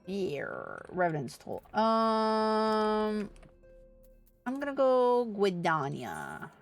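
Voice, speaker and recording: A woman's voice: medium pitch, sing-song, extremely slow, soft background music. A woman livestreaming a game with casual, sweary, muttered commentary. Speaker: livestreamer playing an online fantasy game. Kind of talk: casual gaming commentary and reading game dialogue aloud.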